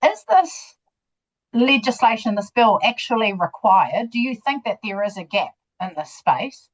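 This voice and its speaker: Woman, committee member, halting questions, lots of ums, dull lo-fi audio. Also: on the high side, very animated. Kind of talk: questioning a submitter. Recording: dull lo-fi sound.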